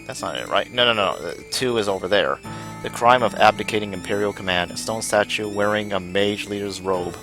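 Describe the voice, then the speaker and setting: A man's voice: on the low side, a little fast, rough recording. A man live streaming a game, reading its text aloud and commenting as he plays. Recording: music behind the voice. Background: game music.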